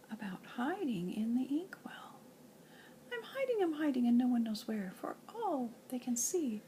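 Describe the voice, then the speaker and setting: An older woman reads children's verse aloud like a grandmother at storytime. Her voice sits a little high and moves with a playful, sing-song storyteller's lilt. The recording is amateur, with faint noise behind her. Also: a little mellow. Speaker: grandmother reading children's poems. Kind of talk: expressive read-aloud of nursery rhymes and poems.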